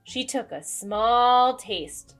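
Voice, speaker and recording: A woman narrating a children's storybook aloud in a dramatic, expressive, deliberate way. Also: on the high side. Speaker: children's book reader. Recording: music behind the voice. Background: music.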